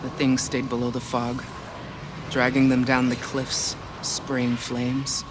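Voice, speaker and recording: A man's voice: medium pitch, completely flat delivery, slow, close to an everyday voice. Wry army captain played by a man, noisy background. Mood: grim recounting. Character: wry, war-weary male army captain. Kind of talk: video game cutscene acting.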